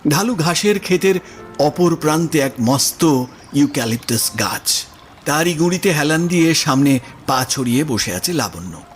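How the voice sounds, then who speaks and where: A man narrating a literary story in an expressive, scripted audio drama style. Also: a little high, normal speed. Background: music.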